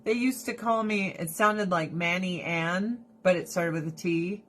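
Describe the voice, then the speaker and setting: A woman's voice: lowish voice, a little slow, slight background noise. Blunt, laughing woman live streaming. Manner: blunt, profane, animated, laughing often. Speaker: direct sales rep live streaming. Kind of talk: casual live stream chat to viewers. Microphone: phone or camera held close.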